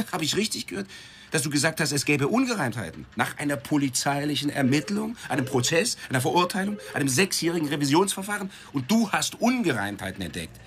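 An actor playing a foul-mouthed, sarcastic newspaper editor in a radio drama, blustering and sardonic. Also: male voice, on the high side, sing-song, fast, slight background noise. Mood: mocking incredulity.

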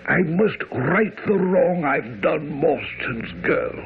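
An actor playing a dying, guilt-ridden old army major in a radio drama, weak and short of breath. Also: male voice, on the high side, lively intonation, takes it slowly. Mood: gasping, urgent. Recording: narrow, noisy old radio broadcast.

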